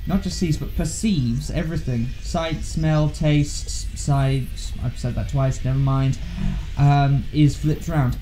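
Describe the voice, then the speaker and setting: Young guy guest chatting, soft dark tone, kinda halting, music behind him. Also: a little high, rough recording. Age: young adult. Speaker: guest co-commentator. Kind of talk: casual chat.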